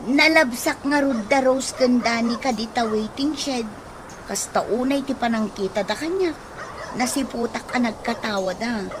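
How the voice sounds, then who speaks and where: An actress playing a chatty, neighborly village wife with an Ilocano accent in a radio comedy drama. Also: female voice, fairly high pitch, normal speed, lightly acted. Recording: noisy track with outdoor ambience.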